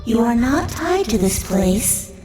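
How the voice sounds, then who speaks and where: A woman's voice: fairly high pitch, very animated, slow pace, warm tone. A woman playing a gracious, solemn elven throne ruler, speaking formally and ceremonially in a fantasy audio drama. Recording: roomy echo, music underneath.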